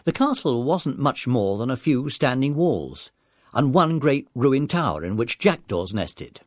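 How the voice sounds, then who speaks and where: A man's voice: medium pitch, very animated, unhurried, darkish tone, no room sound, studio quality. A man narrating a scripted children's audiobook story.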